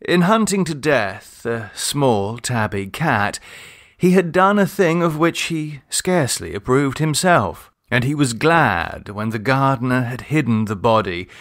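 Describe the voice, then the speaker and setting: A man reading a literary short story aloud in a measured, expressive storytelling manner. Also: mid-range voice, no room sound, studio quality. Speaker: audiobook reader. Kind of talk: scripted literary story narration.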